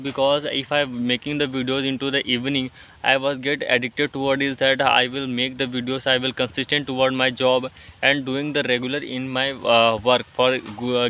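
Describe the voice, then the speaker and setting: A man with an Indian accent, Hindi-speaking by birth, talks in a flat, level tone, stopping often for fillers and restarted phrases. The sound is dull and lo-fi, with the top end rolled off. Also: mid-range voice. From India, Jharkhand. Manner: halting, many fillers and restarts. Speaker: English-learning vlogger. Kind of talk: casual motivational talk to camera.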